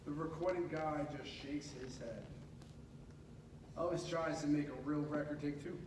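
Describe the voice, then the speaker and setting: A high-voiced man reads a storybook aloud with dramatic pauses and drawn-out emphasis. He is some distance from the mic in an echoey room, with clear noise behind him. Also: steady tone. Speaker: radio host reading a storybook aloud. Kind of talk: animated read-aloud of a children's picture book.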